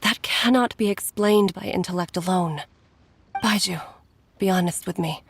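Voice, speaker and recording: An actress playing a weary mother and doctor in a video game, speaking earnestly and softly, with sighs. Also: fairly low pitch, moderate pace, gravelly, a little hiss or hum. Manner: earnest, soft, sighing. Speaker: game character.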